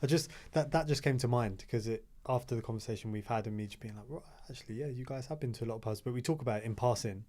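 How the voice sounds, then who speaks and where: A mellow-toned man talks casually and keeps agreeing with the others. His easygoing manner fits a relaxed guest spot. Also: mid-range voice. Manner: laid-back, agreeable, quick to back others up. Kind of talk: casual chat.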